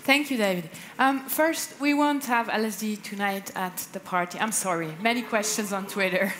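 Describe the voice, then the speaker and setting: A woman's voice: mid-range voice, moderate pace, a bit bright. A woman in her thirties hosting a conference question-and-answer session on a large indoor stage. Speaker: conference host. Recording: stage mic with background noise.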